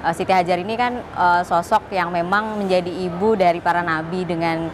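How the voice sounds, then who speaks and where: A woman of thirty, speaking thoughtfully in an interview and pausing to find her words. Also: fairly low pitch, steady tone, background noise. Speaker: interviewee, magazine editor. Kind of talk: personal testimony interview.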